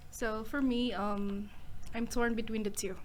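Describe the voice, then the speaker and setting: A woman's voice: on the high side, steady tone, a little slow, crowd noise. A young woman, an international student, speaking hesitantly with many fillers in casual chat. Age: young adult.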